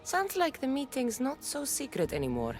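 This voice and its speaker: A woman's voice: sits high, wildly swooping pitch, normal speed. An actress voicing a bold, wry warrior woman in a mythic Greek video game. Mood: guarded. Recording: music behind the voice.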